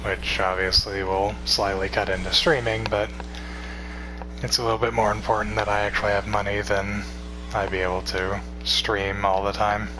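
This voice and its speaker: Young male streamer, 21, tired, low even delivery, loose and rambling, noisy recording with a hum. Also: moderate pace. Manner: rambling, relaxed, swears casually. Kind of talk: casual chat with stream viewers.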